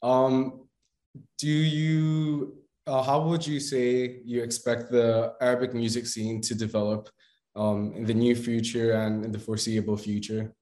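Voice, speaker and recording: A man's voice: medium pitch, level tone, measured pace, darkish tone, echoey room. A man interviewing a singer, asking questions in an enthusiastic, praising way.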